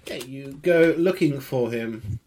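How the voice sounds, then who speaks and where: A man narrating a tabletop horror game as game master, improvising in a conversational, halting way and thinking aloud. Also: mid-range voice, a little mellow, a little hiss or hum.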